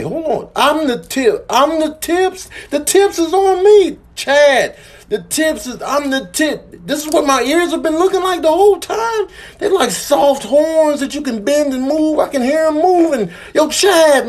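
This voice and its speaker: A man voicing an excitable cat full of wonder, repeating and stretching his words in a comedic animal voiceover. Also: extremely high pitch. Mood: amazed. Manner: repetitive, drawn-out wonder. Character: amazed, excitable cat discovering its own body.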